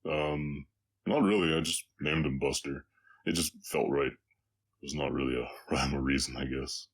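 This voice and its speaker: A man playing a gentle, weary orc cowboy with a slight Southern accent, speaking haltingly and reflectively to a listener in an intimate roleplay audio drama. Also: extremely low pitch, steady tone, slightly rough, exaggerated voice. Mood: reflective, subdued. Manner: halting, reflective, soft-hearted, pausing often.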